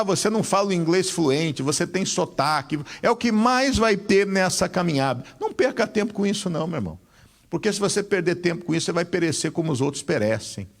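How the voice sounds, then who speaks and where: A pastor preaching a sermon, with sweeping rises and drops in his voice. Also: a little high.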